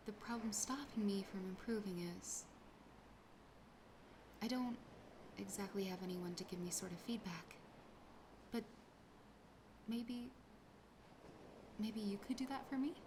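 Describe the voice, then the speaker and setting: A woman acts out a sassy, wistful young siren in an ASMR roleplay. The voice is soft and breathy, often slipping into a whisper, gentle and close to the mic. There is clear background noise behind it. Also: medium pitch, lightly acted. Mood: shy, hopeful.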